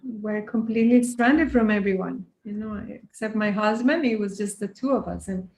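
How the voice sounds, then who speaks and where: A woman's voice: mid-range voice, moderate pace, reverberant, low-quality recording. A woman from Costa Rica, a yoga teacher, speaking earnestly and reflectively as an interview guest. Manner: soft-spoken, earnest, frequent restarts.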